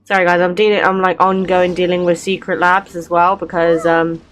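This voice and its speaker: Female playthrough host. Slightly low pitch, informal, acts out written lines lightly over soft game music. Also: little pitch movement, moderate pace. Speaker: gaming channel host. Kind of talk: playthrough commentary, reading game dialogue aloud.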